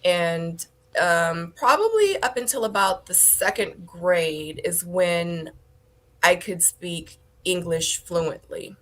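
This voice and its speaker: Lady in her 30s, korean first language, grew up in the us, low-ish voice, lots of ums, chatting as a guest. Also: expressive, takes it slowly, fairly bright tone. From born in South Korea, raised in the United States. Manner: hesitant, many ums, laughs easily. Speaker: interview guest. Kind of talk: casual interview conversation.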